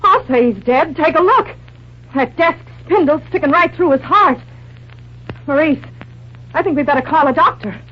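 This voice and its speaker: An actress playing an excitable, wisecracking, blunt secretary in an old-time radio drama. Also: female voice, high-pitched, normal speed, long pauses. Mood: blunt, urgent. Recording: dull, hissy vintage radio recording.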